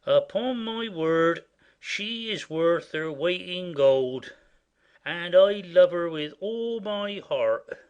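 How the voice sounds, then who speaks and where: An actor playing a simple, boastful, smitten manservant in a classic comedy audio play, with a naive, exclaiming manner. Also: male voice, high-pitched, expressive, slow, smooth, highs cut off. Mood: smitten, confused. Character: simple, boastful male servant, cheerfully self-satisfied and smitten.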